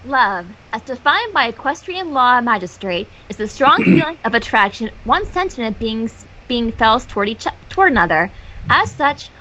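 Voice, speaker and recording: A woman's voice: on the high side, sharp tone, lo-fi sound, full character voice. A woman voicing a bookish, exasperated young female unicorn with a dramatic, lecturing manner in a fan fiction reading.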